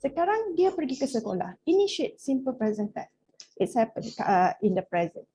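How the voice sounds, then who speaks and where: A woman's voice: high voice, very animated, fairly quick, warm tone, breathy edge, rough recording. A Malaysian woman whose first language is Malay, giving an academic talk in English and often checking in with the audience. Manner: conversational, checks in with "right?". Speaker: lecturer. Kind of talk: academic presentation.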